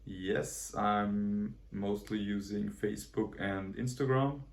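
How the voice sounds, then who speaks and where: A man of thirty giving brief, halting answers in an interview. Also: on the low side, steady tone, gravelly.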